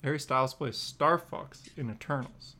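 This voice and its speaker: A man's voice: fairly high pitch, moderate pace, pushed and bright. A man co-hosting a podcast in loose, casual chat. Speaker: podcast co-host.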